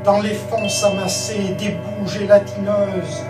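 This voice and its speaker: A woman reciting an epic poem as a solemn declamation, with grave, measured and emphatic phrasing. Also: mid-range voice, fairly even intonation. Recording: roomy echo, distant voice, music. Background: prominent musical backing.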